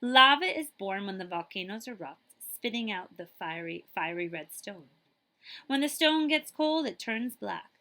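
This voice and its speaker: A woman's voice: medium pitch, animated, moderate pace, sharp tone. A woman school librarian reading a children's picture book aloud expressively, with chatty asides to her listeners.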